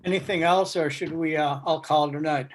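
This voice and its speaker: A man talking casually as a guest on a video call, reflective and measured, often posing questions. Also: on the high side, steady tone, a little hiss or hum. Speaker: guest speaker, baseball writer. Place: remote video meeting. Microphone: video call microphone.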